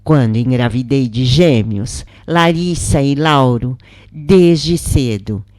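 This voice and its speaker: Woman, devotional reader, slow, soft and warm, dry close sound. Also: very deep voice, dry recording. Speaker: reader of channeled spirit messages. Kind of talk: slow devotional first-person reading.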